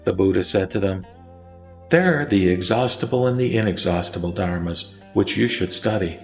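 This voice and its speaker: A man narrating a Buddhist scripture as a scripted recitation, in a solemn, formal and measured manner. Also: sits low, a little mellow. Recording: echoey voice over faint music. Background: faint music.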